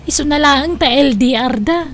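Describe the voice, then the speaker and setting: A woman's voice: high-pitched, normal speed, lightly acted. A woman with a Filipino accent playing a breezy, defensive young graduate in a comedy drama, flippant and protesting. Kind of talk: amateur comedy drama acting.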